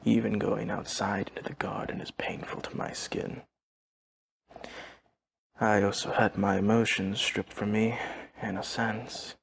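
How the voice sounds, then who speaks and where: A courteous old vampire servant speaks at an unhurried pace with a heavy fry in his low voice. His delivery is formal and drained of emotion, as if reporting to a guest in an audio roleplay. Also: in a whisper, poor audio. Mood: flat, solemn. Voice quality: gravelly, breathy husk. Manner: formal, measured, deadpan, no contractions. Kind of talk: audio roleplay acting, addressing the listener.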